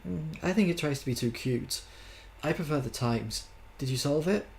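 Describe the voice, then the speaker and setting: Man voicing a playful, teasing woman, raised pitch. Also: gravelly, darkish tone, slight background noise. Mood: curious. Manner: teasing, brisk, insistent. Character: playful, teasing young woman in love, firm and curious.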